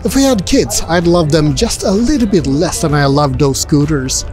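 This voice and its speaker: Lively, high-voiced man in his forties. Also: normal speed, soft background music. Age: 40s. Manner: upbeat, chatty, asks visitors questions. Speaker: travel vlogger. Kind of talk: casual vlog with voice-over narration. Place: busy indoor car expo hall. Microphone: handheld furry mic close to the face.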